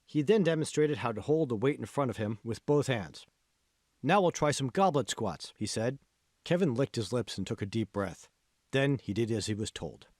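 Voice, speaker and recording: A man's voice: medium pitch, moderate pace, darkish tone, no room sound, clean studio sound. A man reading scripted fiction narration as the author of an audiobook.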